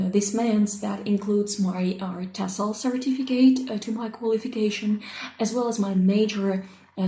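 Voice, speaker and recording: A woman in her thirties, a native Russian speaker with a Russian accent, introducing herself as an English teacher and pausing often with ums and uhs as she searches for words. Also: medium pitch, warm tone. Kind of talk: unscripted self-introduction. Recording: echoey room.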